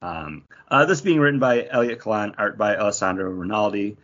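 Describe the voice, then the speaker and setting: A man's voice: lowish voice, fairly quick, fairly bright tone. A man hosting a podcast, chatting casually about comic books. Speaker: podcast host. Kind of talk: casual comic book discussion. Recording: dull, muffled lo-fi audio.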